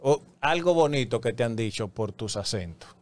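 A man in his thirties hosting a radio interview, asking questions and encouraging the guest with steady backchannel replies, speaking into a studio mic. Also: medium pitch, normal speed. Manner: encouraging, frequent backchannel "ajá". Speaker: radio show host. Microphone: studio mic with headphones.

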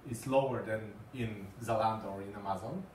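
A man's voice: lowish voice, fairly quick, darkish tone, a little hiss or hum. A man in his thirties with a Polish accent giving a talk to a small group, hesitant with many fillers and repeated words. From Poland. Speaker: conference speaker. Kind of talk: presentation talk. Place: small meeting room with green walls and a screen. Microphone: far camera, no mic seen. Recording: echoey room from far off.

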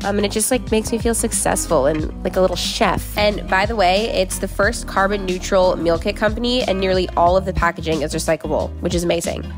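A woman's voice: mid-range voice, brisk, hardly any pauses, over music. A woman in her late twenties vlogging casually, sarcastic and chatty, rambling with likes and ums, stressed and near tears while driving. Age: late 20s. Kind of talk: casual vlog chat with sponsor read. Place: car interior. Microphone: camera on dashboard.

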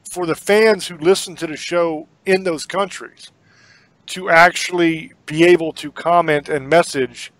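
A man's voice: fairly high pitch, dry recording. A man hosting a podcast, talking in a casual, earnest, rambling way, often repeating words as he goes. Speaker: podcast host and horror author. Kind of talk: casual sign-off talk.

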